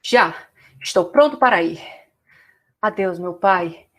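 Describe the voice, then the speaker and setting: A woman's voice: on the low side, lively intonation, takes it slowly, fairly bright tone, full character voice. A woman playing a brave, dutiful young male warrior in an audio drama, earnest and resolute.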